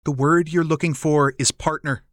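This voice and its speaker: A man voicing a guarded, bitter, emotionally wounded adult son in an audiobook, in terse, halting phrases. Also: fairly high pitch, a bit bright, dry recording, studio quality. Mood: defensive. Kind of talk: audiobook character dialogue.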